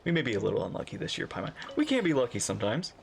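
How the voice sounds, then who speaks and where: Wildly animated male game streamer. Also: medium pitch, very fast, vocal fry. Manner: exclamatory, muses aloud. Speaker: streamer reacting to a video game. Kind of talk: casual gameplay commentary. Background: game music.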